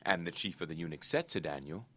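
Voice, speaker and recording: A man's voice: low-pitched, expressive, quick pace, bright, forceful tone. A man narrating a dramatic scripted Bible reading in a formal, solemn storytelling manner. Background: background music.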